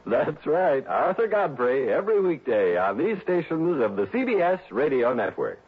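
A man's voice: fairly high pitch, sing-song, normal speed, phone-quality sound. A man with an American accent announcing credits for a 1960s radio show in a scripted style. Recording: thin old radio recording, loud music.

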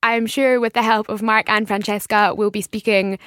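A woman's voice: mid-range voice, little pitch movement, normal speed, fairly bright tone, no room sound, studio quality. A woman co-hosting a language podcast as the learner, sounding cheerful and friendly. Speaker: learner co-host. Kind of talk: scripted podcast intro.

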